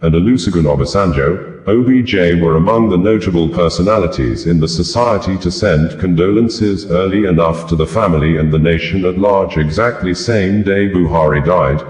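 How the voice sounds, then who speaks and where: A man's voice: low voice, normal speed, few pauses, a little mellow, reverberant. A man reading scripted news as a narrator, in a formal manner. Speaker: news reader.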